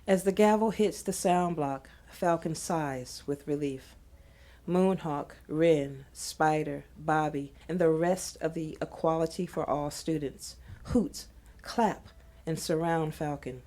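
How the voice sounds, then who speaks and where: A woman's voice: low voice, slow pace, mellow. A woman host reading scripted screenplay narration for an audio drama.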